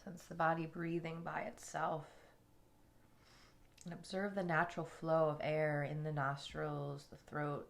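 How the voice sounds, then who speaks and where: A woman leading a guided relaxation meditation in a soothing, unhurried way, with soft, breathy phrase endings. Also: sits low. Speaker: yoga nidra meditation guide.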